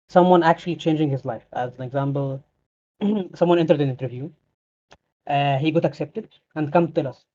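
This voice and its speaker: Guy asking questions kinda haltingly, mellow slightly high voice, muffled lo-fi mic. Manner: halting, hesitant phrasing with restarts. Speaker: interviewer, server admin. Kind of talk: casual interview chat. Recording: dull lo-fi mic.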